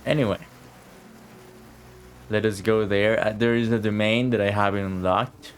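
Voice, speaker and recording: A man's voice: lowish voice, steady tone, normal speed, a little hiss or hum. A man giving casual live game commentary, reacting in an informal, slangy, sweary way. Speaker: game streamer. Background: game music.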